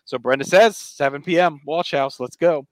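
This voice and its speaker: A man's voice: on the high side, normal speed, dry recording. Male podcast host, dry close recording. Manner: conversational, retells scenes with quoted dialogue. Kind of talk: casual TV episode recap.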